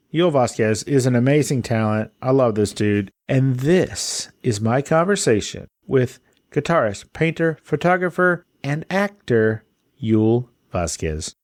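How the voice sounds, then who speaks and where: A man hosting a podcast, gushing with enthusiasm and quick asides. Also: medium pitch, animated, no room sound, clean studio sound. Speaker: podcast host. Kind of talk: casual podcast interview.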